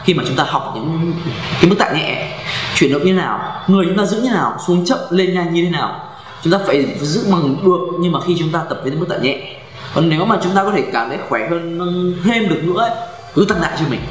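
A young man in his twenties giving beginner gym advice to camera, animated and talking with his hands. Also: on the high side, brisk, roomy sound. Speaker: fitness coach. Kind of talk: instructional vlog talk. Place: indoor room with carved wooden furniture.

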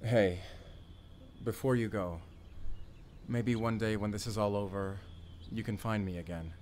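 A man's voice: fairly low pitch, fairly even intonation, a little slow, darkish tone, a little hiss or hum, natural voice. A man playing a hurt, pleading, lovelorn young man in an audio roleplay. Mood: sad, resigned.

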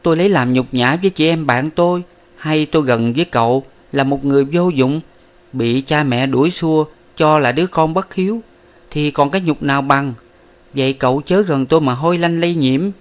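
A man voicing a sharp-tongued, scornful young woman in a storybook reading, scolding and haughty. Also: a little high, normal speed, pitched above the performer's own voice, close to an everyday voice.

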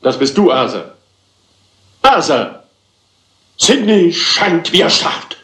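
Pompous stage director in a radio mystery, swoops up and down, theatrical, bit of room echo. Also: male voice, a little high, very animated, slow pace, bright, forceful tone, full character voice. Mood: dramatic, excited. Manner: theatrical, dramatic, self-important. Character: flamboyant, impatient theatre director rehearsing his own play. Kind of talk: German crime radio play dialogue. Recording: slightly roomy radio drama sound.

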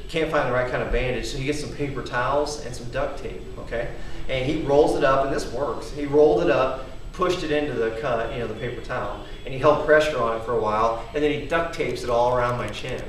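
A man's voice: mid-range voice, fairly quick, few pauses, slight background noise. A man in his thirties, casually rambling as he demonstrates homemade gym gear and tells a story, often checking in with "okay?". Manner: rambling, chatty, keeps asking "okay?". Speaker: home fitness vlogger. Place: large wood-paneled garage at night. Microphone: camera mic from across the room. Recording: echoey garage, voice from far off.

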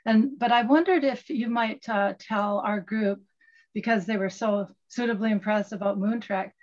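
A woman's voice: medium pitch, moderate pace, darkish tone. An older woman politely putting a question to a speaker in an online meeting over a video call. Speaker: audience member asking a question. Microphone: video call, head and shoulders close. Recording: dull video-call audio.

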